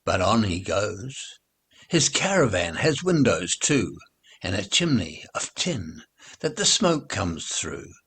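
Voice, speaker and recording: A man reading nursery rhymes aloud in an expressive, deliberate, sing-song way, as a recital for children. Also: lowish voice, slightly rough.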